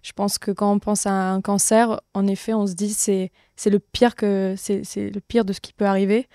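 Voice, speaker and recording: A woman's voice: mid-range voice, flat delivery, moderate pace, mellow, no room sound, studio quality. A woman sharing personal experience as a guest in a casual conversation. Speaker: podcast guest.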